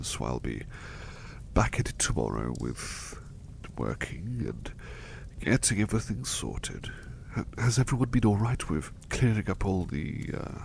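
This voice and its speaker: Man playing a low, gravelly, soft-spoken butler. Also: a little hiss or hum, full character voice. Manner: halting, repeats phrases, effusively polite. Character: gentle devoted male butler, bashful, eager to please, doting. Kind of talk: soft-spoken ASMR roleplay.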